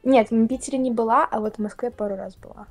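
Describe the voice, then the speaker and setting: A young woman from Russia chatting casually on a video call, talking with lively hand gestures. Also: fairly high pitch, quick pace, no room sound, faint music behind. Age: young adult. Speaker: guest. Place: indoor room, plain wall.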